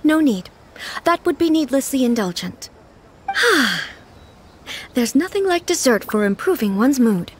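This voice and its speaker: A woman's voice: high-pitched, very animated, slow, pauses often, slightly rough, full character voice. A woman playing a formal, composed and earnestly curious shogun in a video game, with precise diction. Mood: composed, indulgent. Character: dignified female shogun ruler, sheltered, earnest, curious. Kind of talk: scripted video game voice acting. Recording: background music behind the voice.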